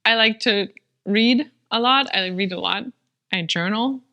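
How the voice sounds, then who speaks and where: A woman's voice: on the high side, a little slow, sharp tone, muffled recording. A woman from Germany, a native German speaker, co-hosting a podcast in earnest, thoughtful chat. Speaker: podcast co-host. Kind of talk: casual chat.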